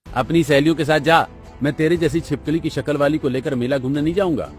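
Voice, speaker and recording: A man's voice: fairly high pitch, fast talker, soft background music, voice pushed up, close to an everyday voice. A man voicing an irritable, scornful young brother in a cartoon, speaking curtly and dismissively. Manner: curt, scornful.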